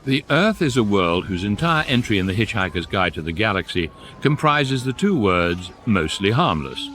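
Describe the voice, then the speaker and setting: An actor playing a dry, precise guidebook narrator with a British accent, deadpan in a radio comedy. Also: male voice, lowish voice, moderate pace, a little hiss or hum.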